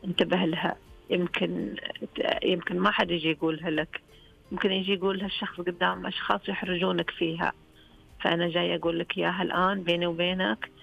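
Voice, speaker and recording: A woman's voice: on the low side, flat delivery, fast talker, vocal fry, highs cut off, soft background music. A woman with a Saihat Saudi accent, calling in to a radio show and chatting earnestly and frankly. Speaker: radio call-in caller. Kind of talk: casual phone-in chat.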